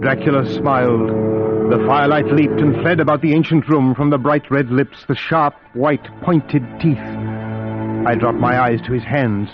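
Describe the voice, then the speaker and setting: A man's voice: mid-range voice, unhurried, close to an everyday voice. Uneasy, polite Englishman in vintage radio drama acted by a man. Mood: ominous. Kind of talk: old-time radio drama narration and acting. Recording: dull vintage radio recording, music behind.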